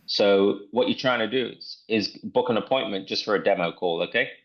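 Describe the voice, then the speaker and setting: A man's voice: fairly low pitch, brisk. Man presenting a demo, dull lo-fi audio. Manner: enthusiastic, repeats himself. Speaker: presenter demonstrating software. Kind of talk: casual live walkthrough. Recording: dull lo-fi online call audio.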